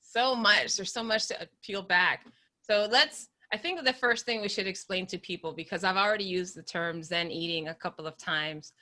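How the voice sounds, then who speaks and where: Bright-voiced woman podcast host. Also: mid-range voice. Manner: slow, deliberate opening, then conversational with laughs. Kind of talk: warm interview podcast. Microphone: video call.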